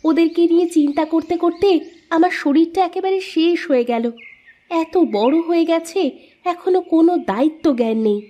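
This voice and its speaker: A woman's voice: very high-pitched, brisk, warm tone. A woman voicing a worried village mother in a cartoon, fretting over her sons. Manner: fretful, praying aloud. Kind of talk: cartoon voice acting.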